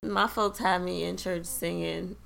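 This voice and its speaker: A woman chatting casually as a podcast co-host, animated, laughing often and swearing easily. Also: fairly low pitch, measured pace, vocal fry. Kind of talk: casual chat.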